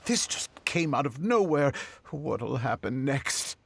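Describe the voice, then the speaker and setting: A man's voice: mid-range voice, very animated, slow, creaky, breathy edge, no room sound, exaggerated voice. A man voicing a bewildered, uneasy troupe member in a video game. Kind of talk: video game character acting.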